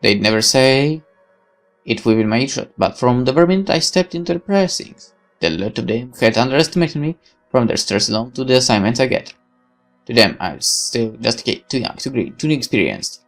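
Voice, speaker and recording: A man's voice: mid-range voice, normal speed, soft background music. A man reading a visual novel's text aloud as dramatic, sardonic first-person narration. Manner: expressive, sardonic. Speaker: let's player reading game text.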